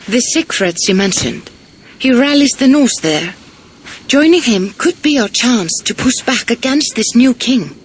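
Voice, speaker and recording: An actor playing a calm, cautious Viking clan adviser. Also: mid-range voice, unhurried, slight background noise, natural voice.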